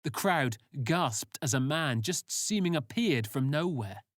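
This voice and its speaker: A man's voice: a little high, lively intonation, measured pace, no echo, studio quality. A man reading scripted sci-fi story narration.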